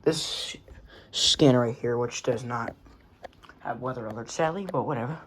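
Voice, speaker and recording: A man talks through a collection in an offhand, rambling way. His voice sits at an average pitch, and the casual recording has clear background noise and clicks. He slips in mild swearing as he goes. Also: normal speed, a bit bright. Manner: offhand, rambling, mildly profane. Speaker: radio collector showing collection. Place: indoors among working radios.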